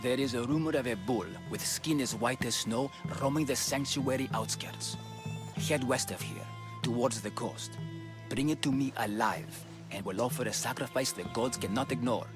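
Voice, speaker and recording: An actor playing a grave, devout ancient Greek priest and healer with a solemn, measured manner in a video game. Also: male voice, medium pitch, natural voice. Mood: solemn, earnest. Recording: game music behind the voice.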